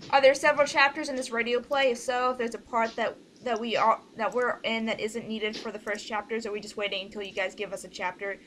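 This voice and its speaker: A woman's voice: fairly high pitch, steady tone, fast, few pauses, a bit bright, slight background noise. A woman leading a casual online group meeting, talking informally through instructions step by step. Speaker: organizer leading a voice project meeting.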